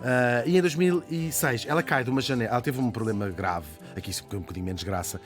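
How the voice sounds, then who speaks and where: A Portuguese man talks quickly through a spirited radio biography, laughing and tossing in asides. He sits at a middling pitch for a man. Faint music plays beneath the studio talk. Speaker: radio columnist. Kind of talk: lively radio biography chat.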